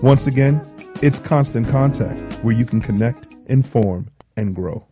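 Male announcer, medium pitch, measured ad read over music. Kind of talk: scripted ad read.

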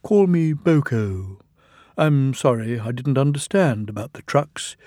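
A man's voice: medium pitch, expressive, unhurried, mellow, no echo, clean studio sound, lightly acted. Man as a put-upon storybook diesel engine. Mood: apologetic. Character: male diesel engine, gruff then bewildered and weary.

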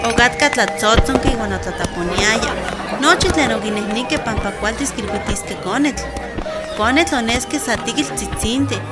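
A woman playing an experienced village midwife in a radio drama, telling a story earnestly and vividly. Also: fairly high pitch.

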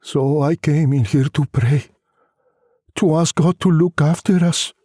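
A man voicing a weary, frightened, devout wife and mother in a story, plaintive and insistent, with a breathy voice. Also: on the high side, steady tone, unhurried, warm tone, dry recording, studio quality, voice pushed up. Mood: weary, pleading.

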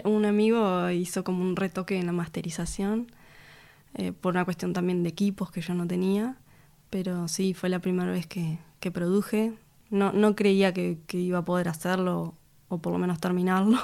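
A woman answers interview questions about her music. She speaks thoughtfully and hesitantly, often pausing on fillers and restarting her sentences, and laughs easily between thoughts. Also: on the low side, vocal fry, darkish tone. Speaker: musician and record producer. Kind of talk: casual interview conversation.

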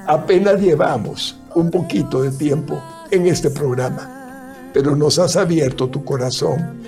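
A man's voice: fairly high pitch, vocal fry, darkish tone. A man hosting a religious radio program, speaking in a solemn, affirming, sermon-like way to his guest. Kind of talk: religious broadcast interview.

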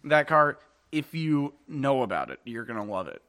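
A man in casual conversation, loose and rambling, quick to laugh and tease. His voice sits at an ordinary middle pitch, relaxed and unforced. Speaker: podcast co-host. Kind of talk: casual car chat.

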